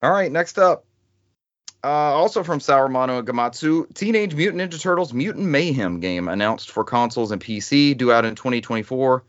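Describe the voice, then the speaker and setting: A man hosts a casual gaming podcast with a joking, loose manner. His voice sits at an average pitch, recorded close and dry with a slightly lo-fi, muffled top end. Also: lively intonation, brisk, dry recording. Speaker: podcast host. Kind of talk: casual gaming news chat.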